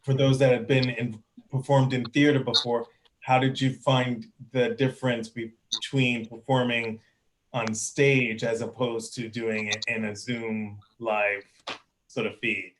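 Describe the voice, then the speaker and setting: Slow, flat-toned man asking a question. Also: mid-range voice, a little hiss or hum, low-quality recording. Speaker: audience member asking a question. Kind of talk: informal spoken question.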